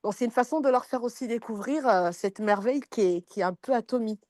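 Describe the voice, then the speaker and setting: Woman, kinda high voice, hesitant, lots of ums, dry close sound on a call. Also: fairly quick, darkish tone, no echo. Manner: hesitant, many fillers and restarts, friendly. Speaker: customer giving a testimonial. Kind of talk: casual testimonial on a video call.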